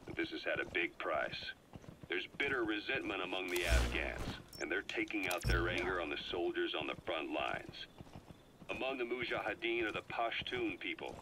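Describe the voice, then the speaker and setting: Veteran spy, very low voice, grave, measured dramatic briefing, game, horse hooves and noise behind. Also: male voice, expressive. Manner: deliberate, weighty phrasing. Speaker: intelligence briefer on an audio tape. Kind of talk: dramatic scripted briefing narration. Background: horse hooves and game ambience.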